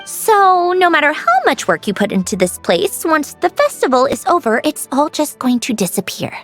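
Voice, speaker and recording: A proud, aloof young game heroine with a very high voice. She is clipped and dismissive, yet her pitch swings widely, with faint music underneath. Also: big pitch swings, moderate pace, fairly bright tone. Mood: skeptical. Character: aloof, brisk young woman, proud, skeptical, secretly soft. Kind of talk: anime-style video game voice acting.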